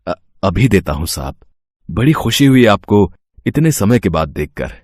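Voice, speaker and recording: A man's voice: fairly low pitch, big pitch swings, measured pace, darkish tone, dry recording, studio quality. A man playing a poor, humble labourer father in a moral story, speaking in a subdued, deferential way. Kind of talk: dramatised Hindi moral story acting.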